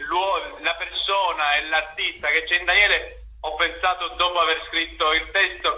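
A man with a very bright, sharp voice pitched a bit high. He talks casually as a radio guest, halting now and then to reword what he says. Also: poor audio. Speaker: actor and writer, interview guest.

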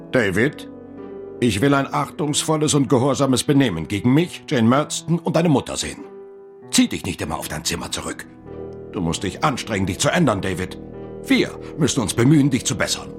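A stern, cold stepfather in a literary radio play. He admonishes in a measured, commanding way, his pitch moving widely. Music plays clearly behind him. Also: sing-song.

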